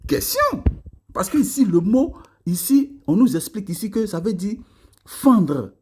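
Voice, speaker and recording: A man's voice: sits high, sing-song, a little slow, a little mellow, slight background noise. A man hosting a live religious debate stream, reading verses aloud and laughing often with his callers. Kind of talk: casual live debate chat.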